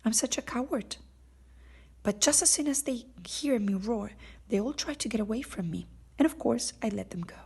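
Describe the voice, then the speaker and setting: A woman's voice: fairly high pitch, moderate pace, gravelly, darkish tone, husky, exaggerated voice. A woman voicing a big cowardly male lion from a storybook, sad, ashamed and plaintive as he confesses. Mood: sad, self-pitying. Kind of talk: storybook character voice.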